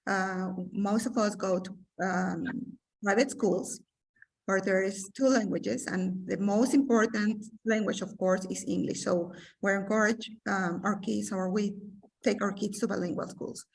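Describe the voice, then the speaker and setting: A woman from Mexico, a businesswoman guest in an interview, telling her life story over a video call, animated and pausing often with fillers. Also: medium pitch, creaky, distant mic, low-quality recording. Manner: animated, searching for words with many fillers. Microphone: remote video call.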